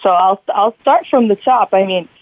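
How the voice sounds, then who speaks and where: A woman's voice: mid-range voice, moderate pace, no echo. A woman in her twenties telling her own story conversationally as an interview guest. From Albania and United States. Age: 20s. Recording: tinny, band-limited phone line.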